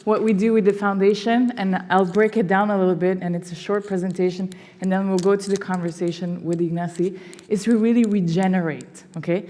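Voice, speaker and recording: A woman's voice: medium pitch, fairly even intonation, brisk, warm tone. A woman from Belgium giving an enthusiastic public presentation for a foundation, peppering her talk with "you know". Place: symposium hall. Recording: long hall reverb.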